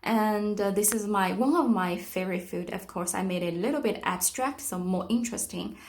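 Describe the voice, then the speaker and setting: A middle-aged woman with a Chinese accent talks through her studio in a gentle, thoughtful way. Her voice sits at an ordinary mid pitch, and she stops often to search for words, filling the gaps with quiet fillers. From China. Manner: soft-spoken, reflective, with frequent pauses and fillers. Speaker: artist giving a studio tour. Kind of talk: casual guided tour narration.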